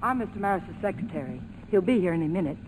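An actress playing a guarded, defiant secretary in a 1940s radio drama, speaking crisply and insistently. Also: female voice, fairly low pitch, expressive, fast talker, natural voice. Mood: cool, brisk. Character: defiant, guarded young secretary with nerves of steel. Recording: noisy old 1940s radio recording, engine drone.

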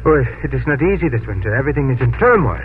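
An actor playing an earnest, cautionary doctor in a 1950s radio drama. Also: male voice, mid-range voice, big pitch swings, very fast, close to an everyday voice. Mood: cautioning. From Netherlands. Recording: tinny old radio recording, background hum.

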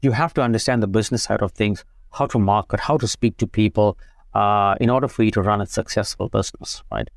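A man in his forties, from South Africa, recounts his path into photography in an easygoing, conversational way, circling back with fillers like "you know" and repeated words. His voice sits low and sounds dry, close to the mic, with little room around it. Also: steady tone, fast, creaky, no room sound. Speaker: guest, photographer and business owner. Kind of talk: casual interview storytelling.

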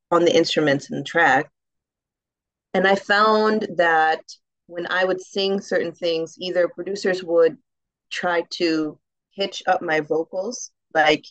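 A woman with a deep, rich, round alto voice, a singer chatting in an interview, animated, laughing often and saying "um" and "like" a lot. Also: no echo, lo-fi sound. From United States. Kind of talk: casual interview conversation.

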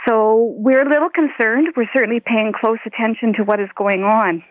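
A woman calling a radio show, telling her story in a chatty, steady way. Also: on the high side, normal speed, no room sound. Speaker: caller. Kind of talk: radio phone-in call. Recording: phone-line audio.

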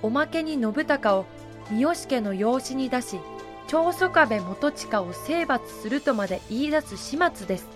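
High-pitched woman narrating history. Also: animated, a little slow, music in the background. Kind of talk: scripted dramatic historical narration.

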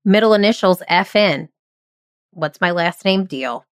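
A woman's voice: on the low side, expressive, measured pace, clean tone, dry recording, studio quality. A woman hosting a podcast who interviews her guest in a bubbly, encouraging, playful way. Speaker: podcast host.

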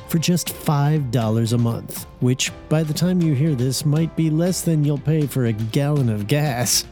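A man reads a scripted promotion for the show in an upbeat, brisk delivery. His tone is mellow and warm, with faint music playing underneath. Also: fairly high pitch. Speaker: executive producer.

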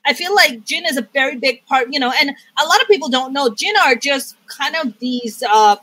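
A woman from Pakistan hosting a casual interview, chatty and animated, acting out remarks as she tells stories. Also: sits high, moderate pace, poor audio. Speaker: interview host.

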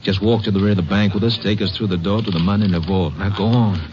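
An actor playing a bank robber posing as a street-corner Santa in a 1950s radio crime drama. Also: male voice, on the low side, fairly even intonation, quick pace, crowd noise, close to an everyday voice. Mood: quietly menacing. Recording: muffled, noisy old radio broadcast.

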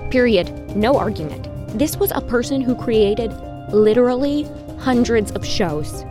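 Opinionated pundit, audio drama interview, emphatic, clipped phrasing, soft music underneath. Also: female voice, a little high, lively intonation, a little slow. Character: opinionated, emphatic woman pundit on an obscure artist.

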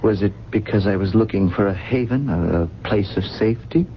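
An actor playing a weary, gentle amnesiac in a radio drama, with formal, educated diction and a halting, pensive delivery. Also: male voice, fairly low pitch, natural voice. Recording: dull, noisy 1970s radio recording.

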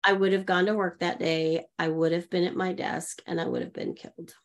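Woman, middle-aged, from Oklahoma, lively storyteller, acts out quoted voices, interview guest. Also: on the low side, fairly quick. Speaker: podcast guest, leadership coach.

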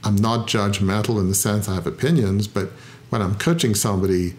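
A middle-aged man with a fairly low voice speaks in a reflective interview. He is thoughtful and self-deprecating, pausing often with "uh" to gather his thoughts. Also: a little mellow. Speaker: founder and interview guest.

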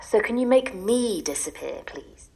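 Exasperated wife in an audio drama; bitter ranting, very expressive intonation, pleading edge. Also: female voice, mid-range voice, measured pace, low-quality recording. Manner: ranting in long bitter lists, sometimes dropping to a secretive whisper. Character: exasperated, desperate wife sick of her husband, pleading to disappear.

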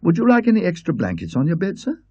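A man's voice: high-pitched, huge pitch swings, fast, warm tone, breathy edge, no echo, pitched above the performer's own voice, heavily acted. A man doing a chatty young maid, meek and humble. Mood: deferential. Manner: humble servant's speech, ungrammatical. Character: young female hotel maid, deferential and chatty. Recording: dull lo-fi recording.

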